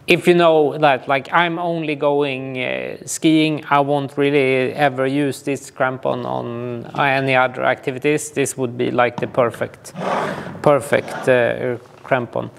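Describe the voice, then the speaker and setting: A man, informally explaining outdoor gear to camera in a hesitant, halting way. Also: mid-range voice, little pitch movement, creaky, clear, resonant tone. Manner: hesitant, halting delivery with frequent pauses. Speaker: ski touring guide and instructor. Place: small indoor gear shop room.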